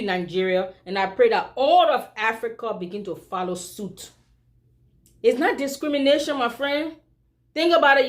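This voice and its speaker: A Liberian woman with a Liberian accent, a vlogger talking straight to her viewers in an emphatic opinion commentary, full of rhetorical questions. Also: a little high.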